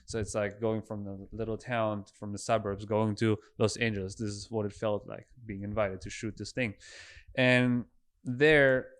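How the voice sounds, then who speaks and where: A man's voice: lowish voice, little pitch movement, normal speed. A man in his thirties with a German accent, telling stories casually as a podcast guest. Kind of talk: casual conversational storytelling.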